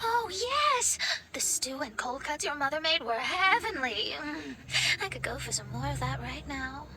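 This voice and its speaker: A woman's voice: high-pitched, sing-song, measured pace. A woman voicing a proud, earnest young female astrologer in an anime game. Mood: delighted. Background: music.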